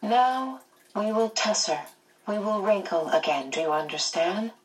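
A woman's voice: mid-range voice, unhurried, recorded from a distance, natural voice, poor audio. A woman playing a patient otherworldly guide. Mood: gentle. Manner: gentle, explanatory.

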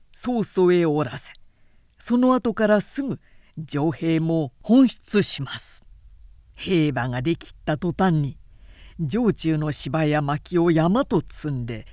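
A woman's voice: low-pitched, animated, takes it slowly, warm tone, no echo, clean studio sound. Woman as an earnest, firm military adviser. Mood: firm, urging. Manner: firm, formal, persuasive. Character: earnest male military adviser urging a ruthless plan.

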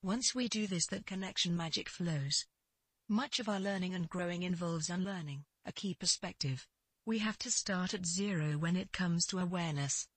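A narrator reads a scripted spiritual advice reply in an earnest, measured and emphatic manner. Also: medium pitch, husky, no room sound. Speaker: spiritual advisor answering a letter.

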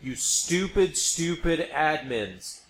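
A man's voice: on the high side, expressive, slow pace, fairly bright tone. A man hosting a podcast, explaining his opinions casually and emphatically, repeating points for effect. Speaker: podcast host. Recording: roomy echo, background noise.

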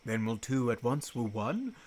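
A man's voice: mid-range voice, sing-song, normal speed, darkish tone. A man playing a mischievous, gleeful fairy servant in a Shakespearean verse radio play, with a playful theatrical manner. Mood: amused, mischievous. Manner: theatrical, playful, laughing delight in others' folly.

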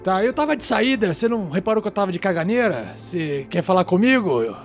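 A man's voice: high voice, animated. A man playing a fussy, suspicious germaphobe recluse in a tabletop roleplay, scolding and bossing theatrically. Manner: scolding, dramatic exclamations, drawn-out orders. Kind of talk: improvised tabletop roleplay acting. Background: background music.

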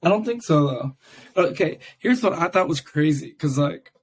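A raspy-voiced young man hosting a casual podcast, chatting loosely with many fillers. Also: on the high side, lively intonation, normal speed. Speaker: podcast host. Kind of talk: casual chat.

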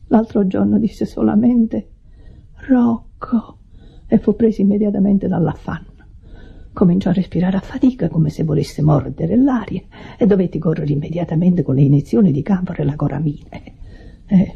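An actress in a radio drama playing an anxious, pious, smothering woman who nurses an invalid, fretful and hushed, with a husky voice. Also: lowish voice, heavily acted. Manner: fretful, hushed, theatrically solicitous. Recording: dull lo-fi radio recording.

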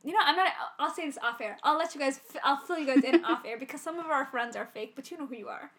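A young woman with a Minnesotan accent, co-hosting a casual podcast and telling stories in a chatty, animated way. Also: sits high. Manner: chatty, quick, animated storytelling. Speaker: podcast co-host. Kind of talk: casual chat.

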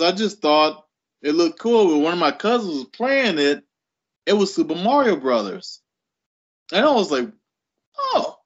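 Late 30s guy on a podcast, really up and down voice, casual chat, slightly muffled audio. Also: a little high. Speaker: podcast guest. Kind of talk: casual chat, personal storytelling.

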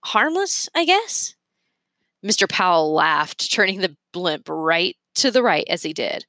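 A woman's voice: lowish voice, normal speed, a bit bright, no room sound, studio quality. A woman reading a children's story aloud as a narrator. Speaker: storybook reader.

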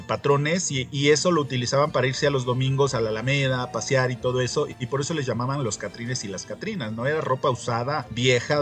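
Middle aged mexican guy chatting in an interview, lots of ums, normal pitch, soft music behind. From Aguascalientes, Mexico. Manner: hesitant, filler-heavy phrasing. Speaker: interview guest, dance company director and choreographer. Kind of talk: casual explanatory conversation.

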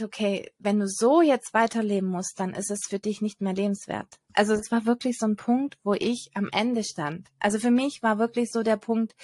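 A woman telling her personal story in a casual podcast chat, earnest and hesitant, pausing often on fillers. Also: medium pitch, no room sound. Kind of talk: personal testimony in casual conversation.

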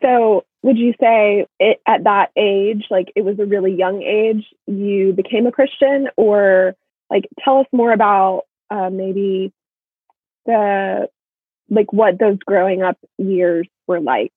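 A woman's voice: mid-range voice, steady tone, slow pace, slightly rough, no echo. A woman co-hosting a podcast, asking hesitant follow-up questions over a video call. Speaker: podcast co-host. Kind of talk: casual interview chat. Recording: tinny video call line.

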